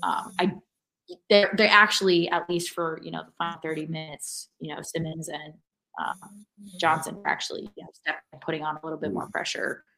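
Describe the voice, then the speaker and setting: A woman's voice: low-pitched, little pitch movement, fairly quick, sharp tone, poor audio. A woman co-hosting a sports podcast, casually breaking down a match in a critical, analytical way. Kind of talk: casual post-match soccer discussion.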